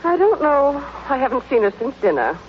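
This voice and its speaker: Old radio role: long-suffering genteel wife, high-pitched, expressive intonation, dull sound. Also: female voice, moderate pace. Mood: placid. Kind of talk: 1930s-40s radio comedy acting. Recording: muffled, hissy old radio recording.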